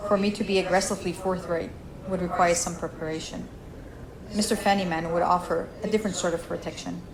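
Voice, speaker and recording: A woman voicing a composed, knowing female seer with formal, measured phrasing in a tabletop game. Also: fairly low pitch, steady tone, a crowd in the background, natural voice, poor audio. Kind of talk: improvised tabletop roleplay dialogue.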